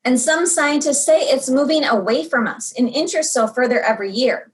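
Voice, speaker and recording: Woman voicing an eager high-voiced young girl. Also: normal speed, natural voice. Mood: knowing. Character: young girl, eager, space-struck oldest cousin playing commander.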